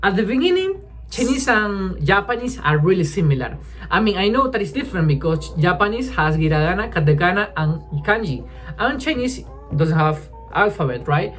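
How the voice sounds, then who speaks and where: A woman whose first language is Spanish, chatting casually to camera as a language-learning vlogger, halting and restarting often and tagging sentences with "right?". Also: mid-range voice, very animated.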